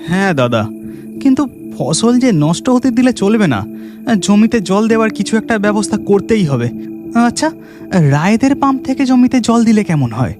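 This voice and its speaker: A woman voicing an eager younger brother farmer in a lively put-on man's voice. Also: mid-range voice, over music, pitched below the performer's own voice, close to an everyday voice. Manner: lively put-on youthful man's voice, fast bursts. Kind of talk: storybook narration with acted voices.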